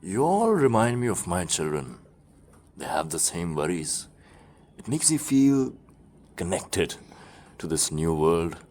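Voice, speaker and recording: A man's voice: sits low, very animated, unhurried, pauses often, darkish tone. A man playing a kindly, fatherly older office worker nearing retirement in a radio play. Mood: reflective, fond. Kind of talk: amateur radio drama acting.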